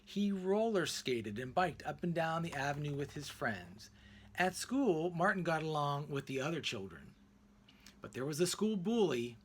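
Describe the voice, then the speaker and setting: A man reading a children's picture book aloud expressively, in deliberate phrases with emphatic pauses. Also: on the high side, sing-song, husky. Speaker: read-aloud narrator.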